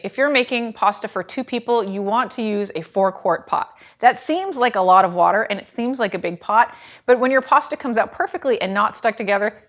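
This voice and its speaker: A woman in her thirties hosting a casual cooking lesson in a home kitchen, chatty and animated, with lots of fillers. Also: medium pitch. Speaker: cooking show host.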